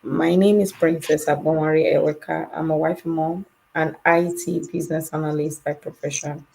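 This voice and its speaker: A woman from Nigeria giving a slow, formal self-introduction as a guest on a live stream over a video call. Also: deep voice, level tone, vocal fry, dark tone, low-quality recording.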